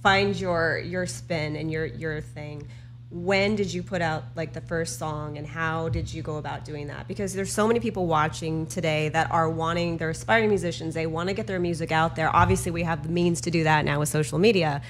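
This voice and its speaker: A woman's voice: low-pitched, fairly even intonation, a little fast, a little hiss or hum. A woman hosting an interview, talking conversationally with her guest. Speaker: interview host.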